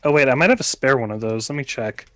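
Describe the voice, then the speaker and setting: A man's voice: mid-range voice, fast, a bit bright. A man taking part in a casual livestream chat over a group video call. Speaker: livestream participant.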